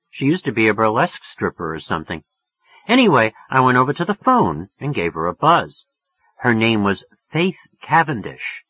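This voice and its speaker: A man's voice: on the low side, husky, lo-fi sound. A man narrating a novel in the first person, in a colloquial, confiding way. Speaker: audiobook narrator.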